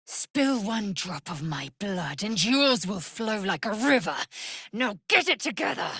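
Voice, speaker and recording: An actress playing a proud, fierce, zealous female githyanki warrior in a video game, imperious, clipped and formal, with a husky, breathy rasp. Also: medium pitch, big pitch swings, unhurried, fairly bright tone, no room sound, studio quality, full character voice. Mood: fierce, commanding. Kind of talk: video game character acting.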